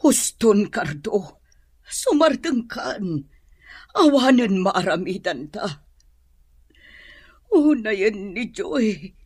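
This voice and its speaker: An actress playing a worried middle-aged mother in a radio drama, husky and pleading. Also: mid-range voice, sing-song, extremely slow, pauses often, darkish tone, no echo, clean studio sound, full character voice.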